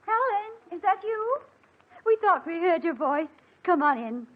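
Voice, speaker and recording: An actress playing a sweet, easily upset young wife with a gushing, tender manner in old-time radio melodrama. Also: female voice, extremely high pitch, very animated, unhurried, exaggerated voice. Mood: affectionate. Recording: tinny, narrow-band old radio recording.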